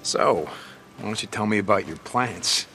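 An American actor playing a gruff, wry outlaw biker in a video game, with halting, sardonic delivery. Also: male voice, lowish voice, pushed and bright, faint music behind. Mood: dry teasing. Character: gruff, wry outlaw biker, adult man, guarded but teasing. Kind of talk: naturalistic cinematic game acting.

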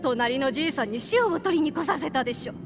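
A woman playing an exasperated, sharp-tongued shopkeeper woman who scolds, in a video game. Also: high-pitched, animated, fast talker, music in the background. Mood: exasperated, scolding.

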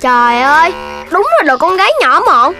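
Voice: high-pitched, animated, normal speed. A voice actor playing a mischievous, whiny little puppy boy in a children's cartoon, with a southern Vietnamese accent. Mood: cocky, dismissive. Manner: childish, whining. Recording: music behind the voice.

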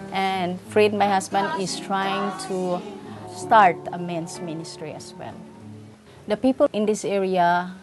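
A woman's voice: mid-range voice, darkish tone. A middle-aged woman from the Philippines with a Filipino accent, speaking as a missionary in an interview testimony. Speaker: missionary on a church planting team. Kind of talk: interview testimony over a charity video. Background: music.

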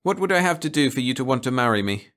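A vain, hard-drinking film star, played by a man in an audiobook story. He is self-pitying and plaintive, his pitch lifted. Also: animated, fast, fairly bright tone, lightly acted. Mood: pleading.

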